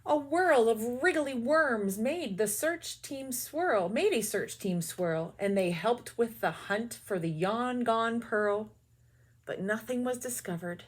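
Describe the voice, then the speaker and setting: A woman teacher reading a children's picture book aloud with expressive storytelling and quick, quiet asides. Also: fairly high pitch, slightly rough.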